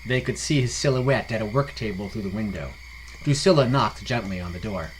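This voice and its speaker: A man's voice: on the low side, animated, a little fast, slight background noise, poor audio. A man narrating a scripted fantasy story as a podcast storyteller.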